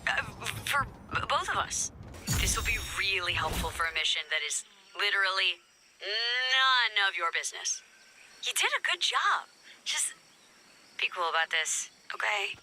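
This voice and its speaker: An actress playing a self-assured, secretive resistance investigator in a video game, with a dry, snarky, conspiratorial delivery. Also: female voice, mid-range voice, very animated, moderate pace, bright, forceful tone, a little hiss or hum.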